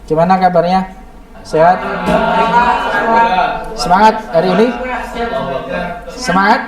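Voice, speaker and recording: A man teaching a class, hoarse and slightly raspy from a cold, quizzing his students in a lively way. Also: sits high, slow, a loud crowd. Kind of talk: classroom lesson. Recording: echoey classroom from far off, students behind.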